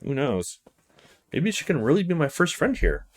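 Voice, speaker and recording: A man's voice: a little high, very animated, normal speed. A man playing a reserved, polite young student in a visual novel, with a hesitant, thoughtful manner. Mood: reflective. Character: reserved young male student, wary, polite, inwardly musing.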